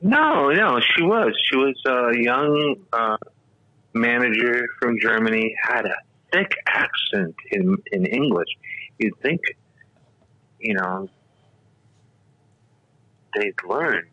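A man's voice: mid-range voice, lively intonation, slow, long pauses, bright, forceful tone, no echo. A man in his sixties, a radio talk show host, telling stories in a rambling, casual way full of fillers and restarts. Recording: dull, lo-fi radio audio.

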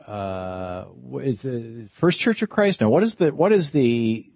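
A man's voice: medium pitch, expressive, measured pace, gravelly, no room sound, lo-fi sound. An American man in his sixties hosting a radio call-in show in a conversational talk style. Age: 60s. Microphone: close studio microphone.

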